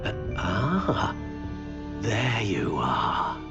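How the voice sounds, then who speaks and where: An actor playing an eccentric, kindly older wandmaker who muses theatrically to himself in a video game. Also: male voice, on the low side, huge pitch swings, extremely slow, creaky, dull audio, loud music behind the voice, exaggerated voice. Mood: musing, perplexed. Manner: musing, murmuring to himself, theatrical. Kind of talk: video game cutscene acting.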